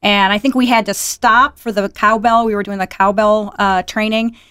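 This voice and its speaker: A woman with a clean, smooth voice of medium pitch, chatting casually as a studio guest. She comes across as easygoing and agreeable, with a dry, close sound. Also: fairly even intonation, moderate pace, a bit bright, no room sound. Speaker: show guest. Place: radio studio.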